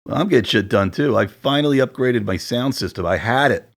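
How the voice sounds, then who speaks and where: A man in his forties with a deep voice, co-hosting a podcast and chatting casually from his home setup, rambling a little. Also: lively intonation, a little fast, vocal fry. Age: 40s. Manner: chatty, rambling, self-deprecating about sound gear. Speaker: podcast co-host. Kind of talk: casual chat. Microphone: studio dynamic mic at home.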